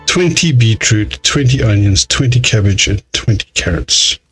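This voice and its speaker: A man's voice: on the low side, expressive, moderate pace, a bit bright, faint music behind, low-quality recording. A man giving casual let's play commentary in a grumbling, exasperated, mildly sweary way. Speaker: gaming video host.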